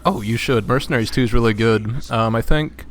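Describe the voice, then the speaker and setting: A man's voice: mid-range voice, a little fast. A man joining a let's play as co-commentator, chatting easily and hesitantly, telling game stories with plenty of fillers. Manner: easygoing, hesitant, storytelling with fillers. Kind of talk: casual gameplay chat.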